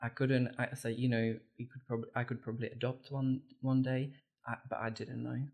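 A man in his thirties, born and raised in the Republic, telling his story earnestly with halting restarts as a podcast guest. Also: medium pitch, level tone, creaky, darkish tone. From Czech Republic. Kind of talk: conversational storytelling.

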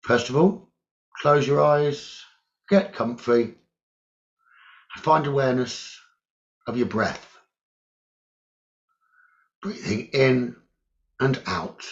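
A man with a mid-pitched voice leads a guided meditation very slowly. He gives calm, deliberate instructions in short phrases and leaves long pauses between them. The recording sounds a little dull and lo-fi. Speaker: meditation teacher.

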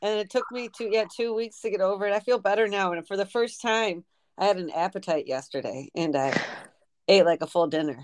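A woman's voice: mid-range voice, fairly quick, darkish tone, dry recording. A woman chatting casually and bluntly, swearing freely as she swaps stories about being sick. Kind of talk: casual chat.

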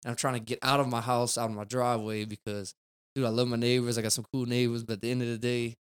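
A man's voice: medium pitch, little pitch movement, quick pace, smooth. A man, a car detailer chatting casually as a podcast guest in a rambling, laid-back way. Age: 20s.

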